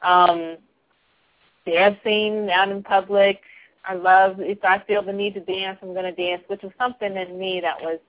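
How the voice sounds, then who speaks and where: A soft-spoken woman talking reflectively in a casual group discussion, laughing now and then. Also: mid-range voice, flat delivery, unhurried. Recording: tinny phone-like line.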